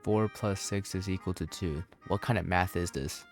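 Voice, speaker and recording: Gaming host: man, very low voice, casual reaction commentary, light music underneath. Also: normal speed. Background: game music.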